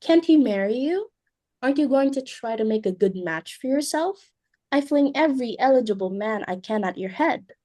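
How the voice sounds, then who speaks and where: A fussy, imperious society hostess, acted by a woman in a stage play reading. Her voice sits high with a soft, dark tone. She is exclamatory and dramatic, a domineering mother who is easily flustered. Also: lively intonation, moderate pace. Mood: scolding, eager.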